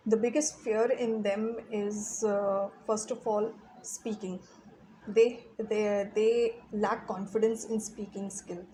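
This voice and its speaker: A middle-aged woman, a guest teacher answering interview questions in an earnest, explanatory way, often pausing to find her words. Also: medium pitch, steady tone, mellow, a little hiss or hum, poor audio. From Pakistan. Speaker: guest, English teacher and trainer.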